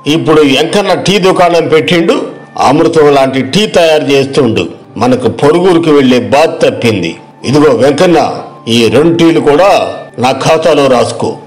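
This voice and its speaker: A man's voice: on the high side, expressive, normal speed, close to an everyday voice. A man playing a boastful, haughty village customer who blusters, in an acted moral story. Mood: breezy, boastful.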